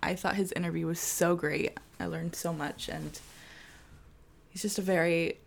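A woman co-hosting a podcast, speaking softly and giggling. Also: lowish voice, fairly even intonation, normal speed, creaky. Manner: soft-spoken, giggly. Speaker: podcast co-host. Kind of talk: casual podcast chat.

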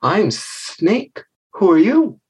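A curious little girl snake played by a man in a storybook tale. The voice is pitched up and lively, a touch husky, with playful, childlike eagerness. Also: drawn-out words, heavily acted. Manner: bright-eyed, childlike, eager.

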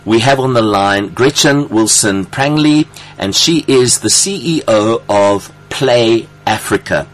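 A man's voice: mid-range voice, expressive, soft background music, rough recording. A man hosting a radio interview, gushing and effusive, stretching out his words in the studio. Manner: effusive, gushing, drawn-out phrasing. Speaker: radio show host.

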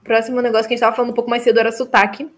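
A woman's voice: on the high side, little pitch movement, rapid-fire. A woman hosting a casual podcast over a call, steering the talk to the next topic. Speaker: podcast host. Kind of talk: casual chat.

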